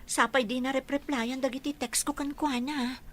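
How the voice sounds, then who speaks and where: A woman's voice: sits high, fairly even intonation, normal speed, a bit bright. A woman playing a fretful, questioning young girlfriend and daughter in an audio drama.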